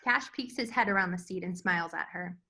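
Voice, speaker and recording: A woman's voice: fairly low pitch, brisk, pushed and bright, slightly rough. A woman reading stage directions as scripted narration for a screenplay table read. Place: remote virtual table read.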